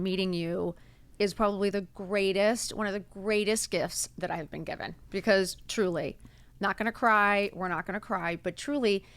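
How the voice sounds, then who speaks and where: A woman's voice: medium pitch, clean tone, no echo. Gushing woman podcast host in her fifties. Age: 50s. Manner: gushing, effusive. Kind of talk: casual chat.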